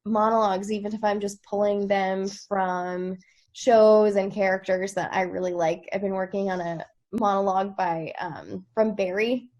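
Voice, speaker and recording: A woman, an actress, chatting casually and brightly about her work on a remote video call. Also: mid-range voice, fairly even intonation, normal speed, lo-fi sound. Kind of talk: casual chat.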